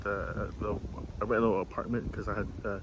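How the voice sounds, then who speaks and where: A man from Vietnam talking informally and unscripted outdoors, in a halting way with broken-off, repeated phrases. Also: fairly low pitch, little pitch movement, noisy background. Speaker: vlogger and software developer. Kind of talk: informal unscripted monologue to camera. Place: outdoors, city view behind.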